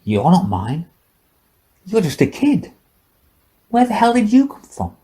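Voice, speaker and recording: A man's voice: high-pitched, huge pitch swings, a little slow, warm tone, pitched above the performer's own voice, heavily acted. A man voicing a drunken, rambling old male scientist with slurred, sarcastic speech in an audiobook. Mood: startled, incredulous. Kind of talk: audiobook character dialogue.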